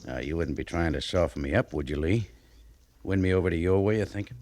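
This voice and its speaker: An American man playing a stern, dry army major in a 1950s radio western, speaking with measured authority and small pauses. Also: sits low, a little mellow. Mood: dryly warning. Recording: noisy old radio recording.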